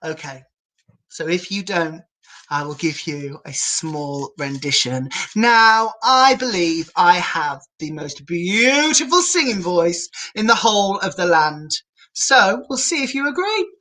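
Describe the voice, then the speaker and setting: A woman's voice: fairly low pitch, animated, slow pace, sharp tone. A woman reading a picture book aloud to children in a theatrical, playful manner, with asides to the viewers. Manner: theatrical, playfully self-mocking. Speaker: children's storyteller, drag queen.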